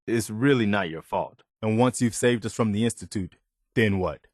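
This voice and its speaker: A male actor plays an earnest wasteland militia lieutenant with a lowish male voice, recorded close and dry. He speaks plainly and with principle, like a dutiful soldier in a video game. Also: moderate pace, no echo, close to an everyday voice. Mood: earnest, concerned. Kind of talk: video game character dialogue.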